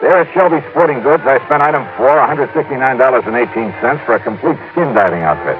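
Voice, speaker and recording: A man's voice: mid-range voice, lively intonation, fast talker, music in the background, natural voice. An actor playing a self-assured, wry insurance investigator in a 1950s radio drama, clipped and confident, narrating his case and talking it through. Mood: brisk narrating. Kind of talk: 1950s radio detective drama, first-person narration and dialogue. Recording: noisy, dull old-time radio recording.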